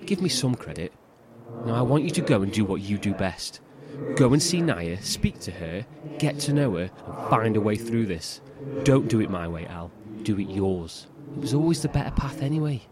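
Low, animated, reassuring brotherly man, acted. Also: normal speed, noisy background, lightly acted. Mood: encouraging. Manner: affectionate, coaxing, informal. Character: encouraging, teasing brotherly man, fond and reassuring. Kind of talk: horror audio drama acting.

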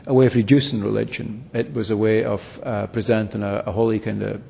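Male academic guest; gravelly, hesitant, self-correcting, recorded in an echoey room. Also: fairly low pitch, flat delivery, mellow, a little hiss or hum. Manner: halting, frequent restarts and self-corrections. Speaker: philosophy lecturer, interview guest. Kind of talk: explanatory academic interview answers. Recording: long reverb, echoey room.

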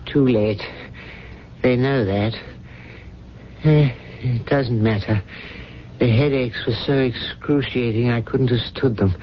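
An actor playing an ailing, devoted man with dry dignity and a halting, weary delivery in a 1970s radio drama. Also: medium pitch, close to an everyday voice. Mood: weary, resigned. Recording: dull old radio recording with hiss.

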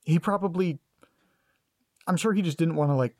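A man's voice: a little high, moderate pace, a little mellow, close to an everyday voice. A man acting in an audio drama as a guarded, sarcastic grandson who is detached from family trauma, speaking in a dry, hesitant, clipped way.

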